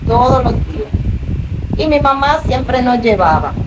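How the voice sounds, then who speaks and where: A woman's voice: high voice, sing-song, unhurried. A middle-aged woman from the Dominican Republic with a Dominican accent, a minister telling her faith testimony in an interview with earnest, rising emotion. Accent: Dominican Spanish. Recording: distant mic, noisy room.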